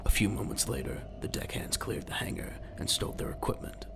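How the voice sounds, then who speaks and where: A man narrating a scripted audio drama in a measured, dramatic, gravelly voice. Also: whispered.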